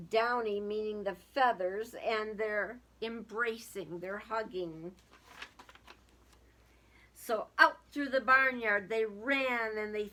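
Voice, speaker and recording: A woman with a fairly high voice reads a storybook aloud at a very unhurried pace. She gives the verse a dramatic delivery and speaks to her young listeners in simple, casual asides. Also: a bit bright. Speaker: teacher reading aloud to young children.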